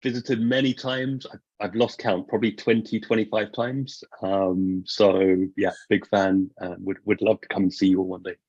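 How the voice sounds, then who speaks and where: A man who is the guest speaker on a remote call, talking in a friendly, relaxed way with hesitant fillers. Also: on the low side, normal speed, husky, no echo. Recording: dry lo-fi call audio.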